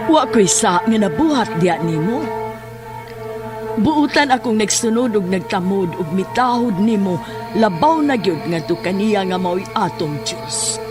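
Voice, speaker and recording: High-pitched, obsessive Filipino occultist. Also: male voice. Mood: aggrieved. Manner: slow, intense, incantatory. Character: desperate, obsessive male occultist chanting dark incantations. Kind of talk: horror audio drama acting.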